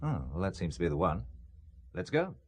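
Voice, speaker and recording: A man's voice: low-pitched, very animated, fairly quick, a little mellow, slight background noise. An actor playing a polite, slightly hesitant middle-aged English businessman in an audio drama. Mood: courteous.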